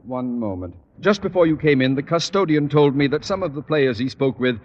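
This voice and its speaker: An actor playing a pompous, nervous, middle-aged school principal with sarcastic, theatrical bluster in a radio sitcom. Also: male voice, on the high side, animated, a little fast, darkish tone, long reverb tail. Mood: sly. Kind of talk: 1950s radio sitcom acting. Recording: dull, noisy old radio recording.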